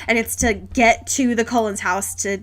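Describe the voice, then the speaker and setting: A woman podcast host giving a casual, comedic recap, animated and sweary, with sarcastic asides and giggles. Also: on the high side, moderate pace.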